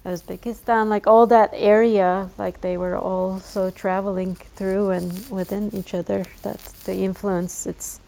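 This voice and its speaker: A woman interviewer in a casual conversation, asking relaxed, inquisitive questions. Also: medium pitch, a little slow, creaky, few pauses, rough recording.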